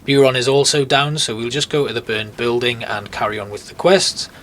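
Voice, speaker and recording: A man's voice: mid-range voice, a little fast, fairly bright tone, slight background noise. A man giving informal, explanatory commentary as the host of a video game walkthrough. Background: music.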